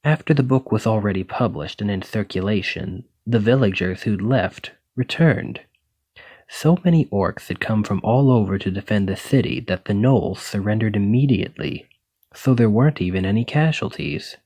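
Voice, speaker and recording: A gentle dragon-blooded librarian played by a man talks to his partner in an ASMR roleplay. His voice sits fairly low. He explains things earnestly and reassuringly, like the bookish, devoted boyfriend he is. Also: expressive, moderate pace, slightly rough. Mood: earnest, explanatory.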